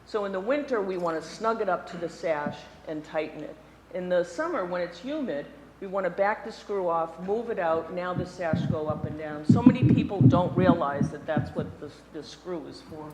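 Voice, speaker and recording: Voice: medium pitch, lively intonation, normal speed, big-room sound, a crowd in the background, poor audio. A window restoration instructor giving a hands-on workshop demonstration, explaining each part step by step while handling tools, with chatty self-deprecating asides to a live room. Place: indoor workshop room before an audience.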